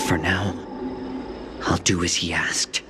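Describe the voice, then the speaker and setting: An actor playing a wary, calculating fox-man captive in a video game, measured and brooding. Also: low voice, little pitch movement, over loud music. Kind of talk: video game inner monologue.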